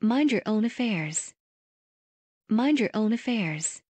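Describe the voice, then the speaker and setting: A woman's voice: medium pitch, slow, breathy edge, no echo. A woman reading short English practice sentences carefully and evenly. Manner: careful, even delivery of single sentences. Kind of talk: slow, clear sentence reading for learners.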